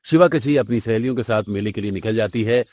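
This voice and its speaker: A man's voice: fairly low pitch, fast talker, a little mellow, no room sound, studio quality. A man reading scripted narration for a cartoon story as its narrator.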